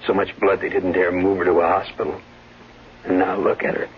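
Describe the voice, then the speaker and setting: An actor plays a weary, anguished husband in a 1970s radio drama. His voice is deep and grave, on a dull, faintly noisy recording. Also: flat delivery, a little fast, close to an everyday voice. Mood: weary, distraught.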